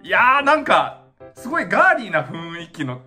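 A young adult man giving casual reaction commentary, excitable and often exclaiming in awe, recorded indoors in a small room. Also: sits high, normal speed, faint music behind. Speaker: dance reaction channel host.

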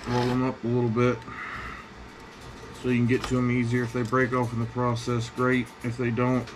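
A man's voice: medium pitch, completely flat delivery, normal speed. A man giving a casual hands-on how-to, plain-spoken, talking in short phrases as he works at an indoor bench. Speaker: scrapping tutorial host. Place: indoor cluttered workbench. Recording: clearly noisy workshop ambience.

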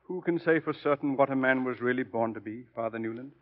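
An actor playing a kindly, wise priest and college mentor in a 1940s radio drama. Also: male voice, medium pitch, fast talker, close to an everyday voice. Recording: tinny, narrow 1940 radio recording.

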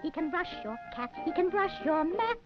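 High-voiced woman singing a children's theme. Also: expressive, moderate pace. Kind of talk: sung children's show theme. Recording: dull 1960s film soundtrack, loud music.

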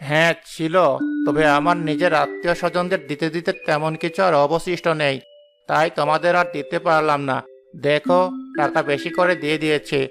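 A man's voice: fairly high pitch, little pitch movement, a little fast, fairly bright tone, soft background music. A man voicing an older, well-off village uncle in a cartoon, genial but self-serving, with a placating manner. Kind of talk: Bengali moral-story cartoon dubbing.

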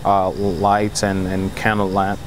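A young man from Mali, answering interview questions about his childhood and work in an earnest, halting way with fillers. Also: deep voice, completely flat delivery, background noise. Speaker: start-up co-founder. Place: lab office, indoors.

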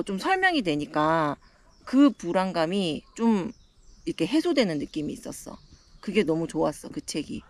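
A woman in her forties, talking slowly and thoughtfully with her husband during a mountain hike. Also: on the low side. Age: 40s. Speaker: vlogger. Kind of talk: casual chat while hiking. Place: mountain trail outdoors.

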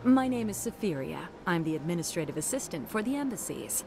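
An actress playing a polite, formal receptionist for the embassies in a video game. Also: female voice, lowish voice, big pitch swings, a little fast. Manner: courteous, formal. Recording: game audio with background music.

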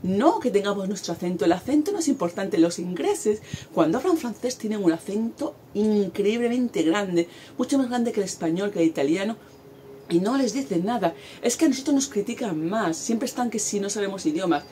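A woman's voice: medium pitch, lively intonation, fairly quick, mellow, a little hiss or hum. A woman in her forties from Spain with a Spanish accent, talking animatedly to camera outdoors in a garden and gesturing as she speaks. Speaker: vlogger. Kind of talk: casual talk to camera.

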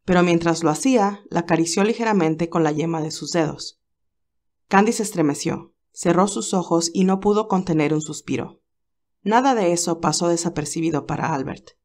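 A woman reading a romance novel aloud in a calm, even storytelling voice. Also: lowish voice. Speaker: narrator reading a fan novel. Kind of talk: audiobook narration.

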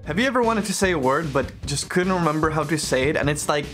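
Chatty young Czech man, slightly high voice. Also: fast talker. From Czech Republic. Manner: chatty and animated, self-deprecating jokes. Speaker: language vlogger. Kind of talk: casual scripted talk to camera. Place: small bedroom in Japan. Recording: music playing under the voice.

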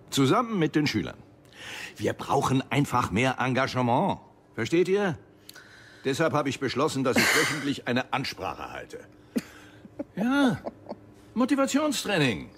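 A man's voice: medium pitch, fairly bright tone, husky, heavily acted. An actor playing a jovial, pushy male school director who gives cheerful motivational speeches.

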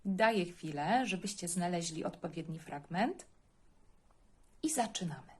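Husky, expressive woman reading scripture. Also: fairly low pitch, a little slow. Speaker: children's Bible reader. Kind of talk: scripture reading and introduction for children.

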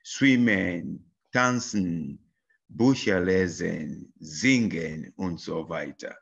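A man voicing a 65-year-old married German woman, giving slow, halting textbook answers with many fillers. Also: low-pitched, creaky, slightly rough, dull audio, pitched below the performer's own voice, full character voice. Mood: answering politely.

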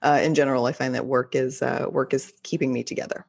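A woman's voice: very deep voice, steady tone, fast talker, vocal fry. A woman from Texas with a faint Texas accent, hosting a podcast in a chatty, effusive way. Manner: chatty, warm-hearted, effusive. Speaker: podcast host. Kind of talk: casual podcast talk.

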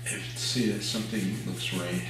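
Older guy teaching painting, lots of ums, mic far off, low voice. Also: fairly even intonation, normal speed. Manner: thinking aloud with fillers and restarts. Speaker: painting instructor. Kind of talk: relaxed painting demonstration. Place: art studio at an easel, indoors. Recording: very echoey room, mic far off, audible noise.

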